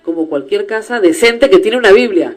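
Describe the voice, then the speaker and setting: A woman with a Peruvian accent hosting a radio interview, talking in an animated, emphatic and teasing way. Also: mid-range voice, normal speed, over a noisy crowd. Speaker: radio show host.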